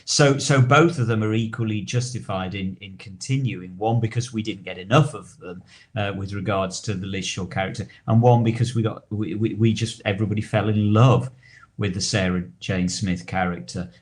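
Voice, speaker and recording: A man co-hosting a podcast in casual discussion, talking wordily and haltingly as he repeats and rephrases himself. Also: fairly low pitch, steady tone. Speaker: podcast co-host.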